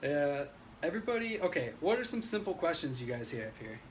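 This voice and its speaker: High-voiced man on a brash livestream rant. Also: big pitch swings, brisk. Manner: brash, opinionated, frequent swearing; emphatic, agitated delivery. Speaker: livestreamer giving political commentary. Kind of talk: unscripted ranting livestream, reading viewer comments. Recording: noisy livestream audio.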